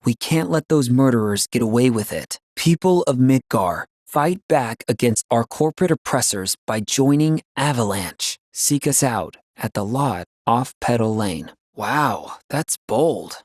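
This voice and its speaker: A man's voice: mid-range voice, lively intonation, unhurried, hardly any pauses, darkish tone, no echo, studio quality. A man voicing an upbeat, loyal young ex-soldier in a video game, with a casual, boyish, earnest delivery. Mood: defiant, then playful, exasperated.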